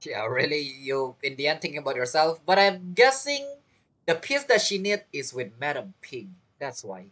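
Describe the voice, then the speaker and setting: A woman's voice: low-pitched, big pitch swings, normal speed, a bit bright. A woman giving chatty, casual commentary while playing a game, with mumbled asides. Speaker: game streamer. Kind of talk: casual let's-play commentary.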